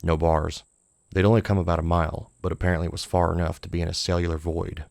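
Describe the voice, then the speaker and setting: A man's voice: sits low, little pitch movement, fast talker, gravelly, a little mellow, no echo, studio quality. A man narrating a scripted horror short story as an audiobook.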